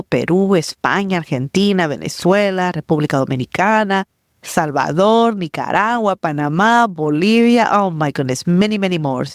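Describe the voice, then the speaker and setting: A woman's voice: mid-range voice, dry recording, studio quality. A woman from Guatemala with a Guatemalan Spanish accent, speaking English as a second language, hosting a podcast as a Spanish language coach in an upbeat, encouraging way. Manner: upbeat and encouraging, drills words aloud. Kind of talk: solo podcast lesson.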